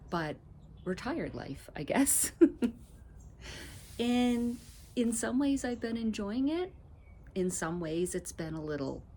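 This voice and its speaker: A low-pitched woman in her fifties chats casually in a vlog, sounding slightly stuffy from allergies. She rambles and laughs between thoughts. Faint outdoor ambience sits behind her on the deck, picked up by the camera mic. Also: darkish tone, a little hiss or hum. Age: 50s. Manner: chatty, rambling, laughs often. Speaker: vlogger. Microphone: camera mic, no lapel mic.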